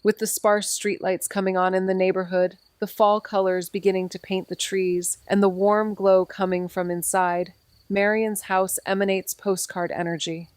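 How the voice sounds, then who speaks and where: A woman's voice: mid-range voice, flat delivery, normal speed, darkish tone, clear, resonant tone, dry recording, lightly acted. An actress playing a wry young woman investigator who also narrates the noir story. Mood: wry narration. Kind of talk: noir audio drama narration.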